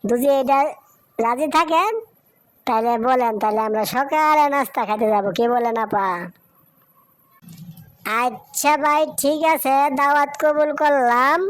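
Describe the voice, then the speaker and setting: A woman's voice: high-pitched, measured pace, long pauses, low-quality recording. A woman voicing a joyful, chatty village mother in a cartoon story, effusive and neighbourly, with a regional Bangladeshi Bengali accent. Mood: welcoming. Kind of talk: cartoon moral story dialogue.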